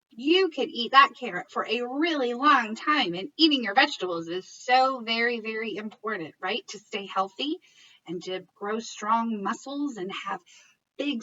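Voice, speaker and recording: High-voiced woman reading to young children. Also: far from the mic, dull audio, slight background noise. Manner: expressive, encouraging, questioning, addressing children and parents. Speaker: early childhood educator reading stories. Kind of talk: children's picture-book read-aloud with commentary.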